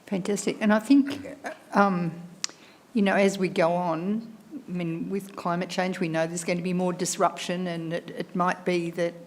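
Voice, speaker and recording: A woman councillor speaks in a slightly low voice during a meeting. She sounds appreciative and thinks aloud with fillers and small pauses. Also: level tone. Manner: appreciative, with fillers like you know. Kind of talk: meeting discussion.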